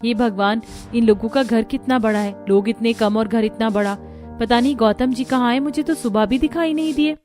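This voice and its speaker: Young cartoon bride, acted by a woman, high voice, meek, polite, plaintive, music softly behind. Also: moderate pace, lightly acted. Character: meek, hopeful young bride from poor family.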